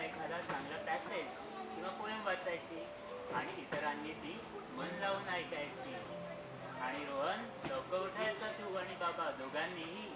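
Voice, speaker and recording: A man acting a strict but kindly old grandfather on stage, instructing the family in a measured, admonishing way. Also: fairly high pitch, lightly acted. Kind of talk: stage play acting, reading out a letter. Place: theatre stage in an auditorium. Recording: echoey auditorium, distant mic, loud noise.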